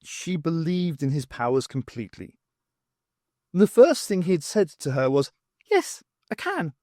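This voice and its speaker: Animated male audiobook narrator, dry sound. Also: a little high, measured pace, no room sound. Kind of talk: scripted fiction narration.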